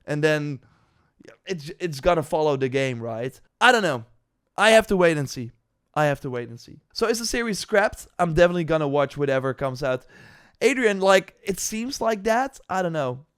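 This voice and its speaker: A man with a clean, crisp voice that sits a little high talks through his gaming opinions unscripted. His delivery is casual and meandering, with stop-and-start phrasing and bursts of emphasis, like a streamer reacting for viewers. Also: sing-song, normal speed, a bit bright. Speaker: gaming news streamer reacting to announcements and chat.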